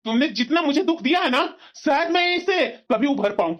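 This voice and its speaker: A woman's voice: high-pitched, expressive, fairly quick. A woman voicing an exasperated, aggrieved young husband in a family cartoon, scolding, in a dull lo-fi recording. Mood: angry, fed up. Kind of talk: cartoon voice-over in family comedy drama.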